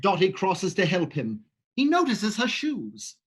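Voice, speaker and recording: A man reads stage directions aloud in a plain, even manner, with a slightly higher pitch than most men. He keeps the reading steady and matter-of-fact. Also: unhurried, slightly rough.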